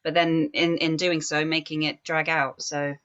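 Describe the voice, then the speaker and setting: Woman with a low voice giving kinda tentative casual panel feedback, muffled lofi audio. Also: flat delivery, normal speed, a bit bright, clean tone. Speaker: script reading panellist. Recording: dull lo-fi sound.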